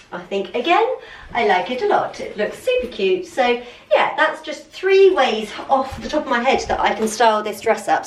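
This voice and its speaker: A woman's voice: a little high, slight background noise. Gushing woman, slightly stuffy. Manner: chatty and gushing, repeats words for emphasis. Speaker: lifestyle vlogger. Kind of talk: casual try-on and unboxing chat. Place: room at home. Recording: echoey room, voice from a distance.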